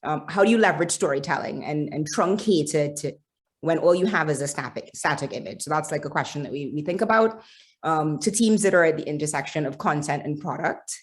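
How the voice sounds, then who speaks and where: Trini woman w a low voice, chatty and confident, panel on a video call. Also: expressive, brisk. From Trinidad and Tobago. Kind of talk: panel discussion introduction.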